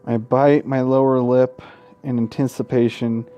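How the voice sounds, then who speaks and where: A man's voice: medium pitch, flat delivery, measured pace, warm tone, clear, resonant tone, lo-fi sound, faint music behind. A man reading game narration aloud in a let's play, irreverent and sweary, often tripping over the words. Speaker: gaming YouTuber.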